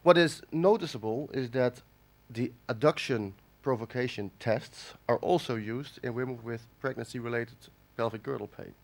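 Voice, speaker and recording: A man from the Netherlands gives a conference lecture while nursing a cold. His delivery is halting, full of fillers and restarts, at a middling pitch. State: has a cold. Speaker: conference speaker. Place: conference hall.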